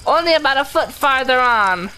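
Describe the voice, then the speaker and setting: A woman voicing a small, timid, eager young pig in an audio drama, fussy and quick to chime in. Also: high voice, expressive, sharp tone, slight background noise.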